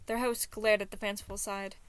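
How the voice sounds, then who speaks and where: A woman reading a scripted story as narrator, with expressive delivery. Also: mid-range voice, brisk, smooth, no echo. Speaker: fan fiction narrator.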